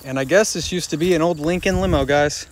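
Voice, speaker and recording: A man narrating his walk through abandoned buildings and old vehicles, excited and talking straight to viewers, filmed on a handheld phone. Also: a little high, brisk, a little hiss or hum. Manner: excited, keeps addressing viewers as "guys". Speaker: urban explorer vlogger. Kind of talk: walkthrough narration while exploring.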